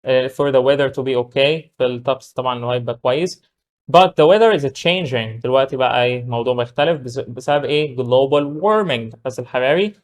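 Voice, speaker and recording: A man with an Egyptian Arabic accent teaching English, reading model sentences slowly and explaining them in quick Arabic. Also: medium pitch. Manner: switches between slow, drawn-out English model sentences and rapid Arabic explanation. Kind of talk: recorded language lesson.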